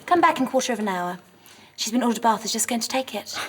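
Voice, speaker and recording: A woman playing a scheming older aunt and wheedling go-between in a dramatized memoir, with a husky, breathy voice. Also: fairly high pitch, animated, fast, a little hiss or hum, lightly acted. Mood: scheming, protesting.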